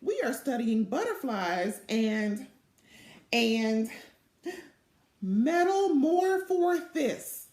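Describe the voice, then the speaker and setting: A woman's voice: a little high, very animated, drawn-out words, long pauses, a little mellow, exaggerated voice. A woman voicing an eager, earnest little first-grade girl in a storybook reading. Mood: proud. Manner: earnest, proud. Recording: echoey room sound.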